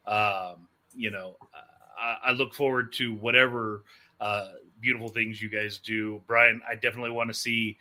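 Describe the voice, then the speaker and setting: A man's voice: fairly low pitch, flat delivery, moderate pace, pushed and bright. A man hosting an interview show in casual chat, with an informal, joking manner. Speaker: host of an audio drama interview show.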